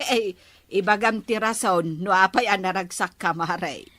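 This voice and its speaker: A bright, lively actress as a chatty Ilocano village neighbour, recorded dry. She takes her time, teasing and exclaiming in comedy drama style. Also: medium pitch, unhurried, no echo. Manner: animated, given to exclamations.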